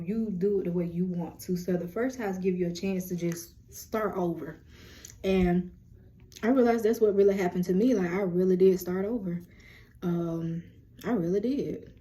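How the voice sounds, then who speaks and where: Chatty young woman with a deep voice. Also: warm tone. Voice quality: low, deep voice. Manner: chatty, rambling, self-described yapper. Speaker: vlog host. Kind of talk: casual lunchtime chat.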